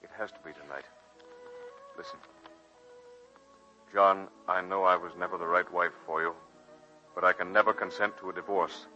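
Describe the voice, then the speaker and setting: An ardent, literary professor in a 1940s radio play. His very low voice is forceful and bright, measured and earnest, on a dull old recording with faint music. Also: fairly even intonation. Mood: grave. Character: earnest, romantic married college professor in love. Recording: muffled vintage 1940s radio broadcast, music behind.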